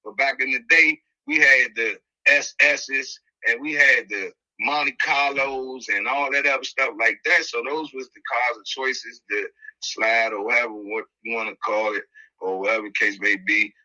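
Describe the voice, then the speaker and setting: A man with a Washington, D.C. accent hosting a podcast, telling a personal story casually in animated, slangy talk, repeating phrases for emphasis. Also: medium pitch, moderate pace, muffled recording. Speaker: podcast host.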